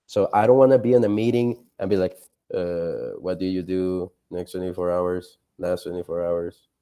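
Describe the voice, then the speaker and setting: Mellow, low-voiced man coaching a group. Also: fairly even intonation, moderate pace. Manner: informal, encouraging, peppers talk with rhetorical questions. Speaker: career coach leading a group call. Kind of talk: casual motivational coaching on a group call. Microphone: video call.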